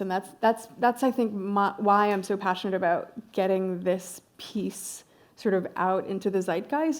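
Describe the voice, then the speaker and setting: A woman's voice: mid-range voice, darkish tone. Woman panelist, fired up, blunt, swears a bit, mid voice. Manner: passionate, blunt, casual swearing. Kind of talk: panel discussion.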